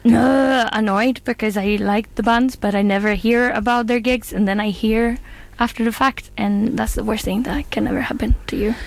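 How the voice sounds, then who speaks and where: A woman's voice: fairly high pitch, steady tone, moderate pace, gravelly, few pauses. A woman co-hosting a casual radio chat show, talking loosely with many fillers and frequent laughs. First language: not Swedish. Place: radio studio.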